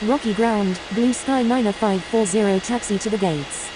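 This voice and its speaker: A woman voicing a brisk airline pilot in a game, making scripted radio calls in clipped procedural phrasing. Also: mid-range voice, close to an everyday voice. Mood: businesslike. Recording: noisy capture with steady whirring hiss.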